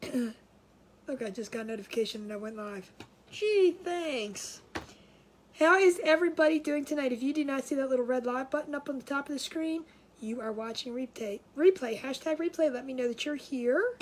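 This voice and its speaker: A woman's voice: high-pitched, moderate pace, slight background noise. A woman hosting a casual craft livestream from home, sounding nasal and congested, chatty and scattered, with frequent laughs and restarts.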